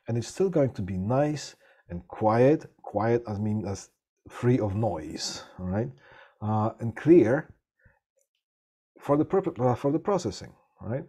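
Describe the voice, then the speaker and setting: A middle-aged man teaching a live online class. He talks haltingly with many restarts and keeps checking in with "right?", sometimes drifting away from his mic. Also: medium pitch, warm tone, slightly rough. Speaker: instructor. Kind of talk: live online lecture on audio systems.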